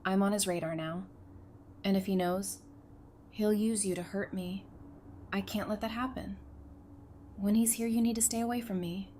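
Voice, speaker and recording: A woman acting a defiant, sharp-tongued but protective survivor in an audio drama, blunt and intense in her delivery. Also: mid-range voice, steady tone, normal speed, pauses often, close to an everyday voice. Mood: pleading, earnest. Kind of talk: full-cast fan audio drama acting. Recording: clear background noise.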